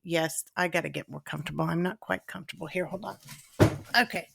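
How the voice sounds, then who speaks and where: A woman's voice: deep voice, fairly even intonation, fast, creaky, very noisy. A woman podcaster and author chatting informally at home, with a self-deprecating, digressive way of talking. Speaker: author and podcast host reading own story. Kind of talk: casual rambling chat, then read-aloud storytelling. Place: at home in a lived-in room.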